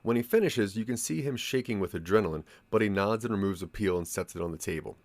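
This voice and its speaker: Low-voiced male narrator, very expressive intonation. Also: quick pace, background noise. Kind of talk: scripted second-person story narration for audio drama. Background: music.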